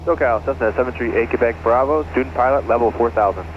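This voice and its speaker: A male student pilot reads back instructions quickly in clipped phrases. His radio audio is muffled, with clear aircraft noise behind it. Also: medium pitch.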